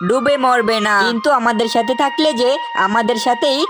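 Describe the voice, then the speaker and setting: A woman's voice: fairly high pitch, fairly quick, natural voice. A woman voicing a protective, reassuring animal parent in a children's cartoon, firm and soothing. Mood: resolute, consoling. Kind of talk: Bengali children's cartoon dubbing.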